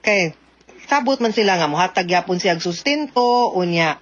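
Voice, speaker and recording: A woman's voice: on the low side, animated, moderate pace, highs cut off. A woman with a Cebuano Filipino accent hosting a radio advice show, chatty and emphatic, mixing in English. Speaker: radio host, lawyer giving advice.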